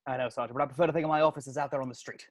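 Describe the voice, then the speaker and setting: A man's voice: medium pitch, fairly even intonation, very fast, natural voice. A man reading a stiff, earnest young constable. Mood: protesting. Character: earnest, overachieving young male police constable. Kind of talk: table read over video call.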